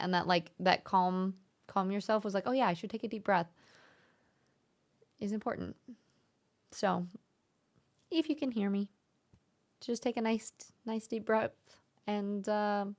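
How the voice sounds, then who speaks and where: A young woman hosting a casual live-stream chat, speaking in a gentle, encouraging and thoughtful way. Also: mid-range voice. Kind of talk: casual live-stream chat, reading viewer messages aloud.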